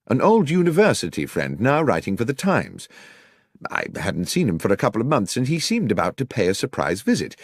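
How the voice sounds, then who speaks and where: A man with a British accent narrating a scripted first-person story in a measured, dramatic manner. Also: sits low, slightly rough, no room sound, studio quality. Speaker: audiobook narrator.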